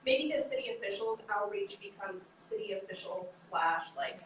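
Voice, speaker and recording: A woman's voice: medium pitch, lively intonation, normal speed. A woman at a community meeting offering suggestions on how to organize subcommittees. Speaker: meeting participant. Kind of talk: casual meeting discussion. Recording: very echoey room, far mic, background noise.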